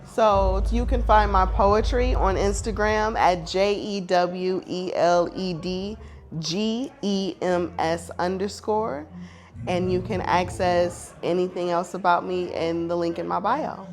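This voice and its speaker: Slow, thoughtful poet speaking. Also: mid-range voice, fairly even intonation. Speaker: poet, interview guest. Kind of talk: reflective interview answer.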